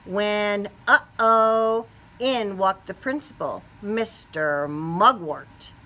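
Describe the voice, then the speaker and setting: A woman reading a picture book aloud to young children expressively, pausing to put questions to them. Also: medium pitch. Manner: animated, asks the young listeners questions.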